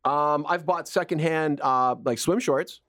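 A man's voice: on the high side, a little slow, a bit bright, no echo, clean studio sound. A man from Canada co-hosting a radio show, telling stories in playful casual banter. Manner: playful, storytelling. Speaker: radio co-host.

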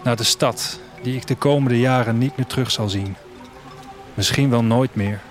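Man playing a wistful restless traveller, reflective audio drama narration, medium-pitched voice, prominent music underneath. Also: moderate pace, lightly acted. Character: wistful, restless traveller leaving his future city. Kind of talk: literary first-person audio drama narration. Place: studio, music behind.

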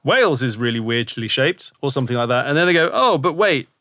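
A man's voice: medium pitch, very animated, fast, sharp tone, dry recording. A man hosting a podcast, chatting casually and thinking aloud with lots of fillers. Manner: rambling, thinks aloud with many "like" and "kind of". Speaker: podcast host.

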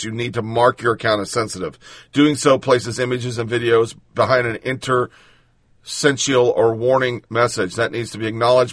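A man's voice: medium pitch, dry recording. A man hosting political commentary, reading news and then ranting bluntly with sarcasm and profanity.

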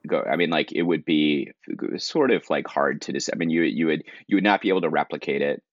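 A man's voice: very deep voice, a little fast, dry recording, lo-fi sound. A man co-hosting a podcast, talking casually and rambling with many "likes" and restarts. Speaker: podcast co-host. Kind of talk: casual conversation.

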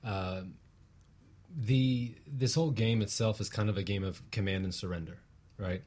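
A man speaking as a talk show guest, explaining conversationally in deliberate, emphatic short phrases. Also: fairly low pitch, a little mellow, husky.

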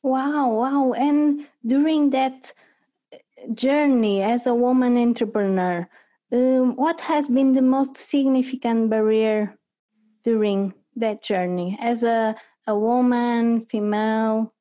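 A woman hosting an interview, asking her questions at a slow, unhurried pace. Her voice is mellow and fairly high, and the recording sounds dull and lo-fi. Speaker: host.

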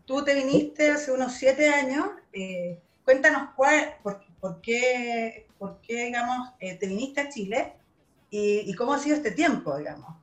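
A woman's voice: a little high, lively intonation, a little slow. A woman hosting an interview show, introducing her guest and asking questions in a chatty way. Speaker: host.

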